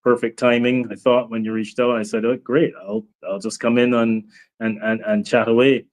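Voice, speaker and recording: A man from Barbados with a Barbadian accent joins a sports show as a guest. He talks in a measured, thoughtful way, pausing on "um" and "uh" as he works through his points. His pitch sits in an ordinary middle range. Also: flat delivery. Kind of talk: casual sports chat.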